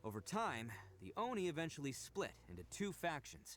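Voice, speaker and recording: An actor playing a young oni gang leader in a video game, brash but good-hearted, with a boisterous, slangy delivery. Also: male voice, mid-range voice, big pitch swings, fast, a bit bright, a little hiss or hum. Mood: explaining.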